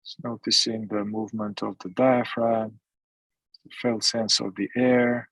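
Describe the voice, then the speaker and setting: A man leading a guided meditation as a teacher, speaking gently in short, sparse phrases with long pauses. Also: lowish voice, level tone, husky, dry recording, low-quality recording. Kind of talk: guided meditation, mindfulness instruction.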